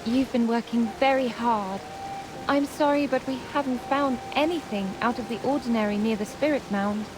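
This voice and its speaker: A woman's voice: fairly high pitch, normal speed, noisy background, close to an everyday voice. A woman playing a polite, caring ally in a scripted fantasy game story. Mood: apologetic.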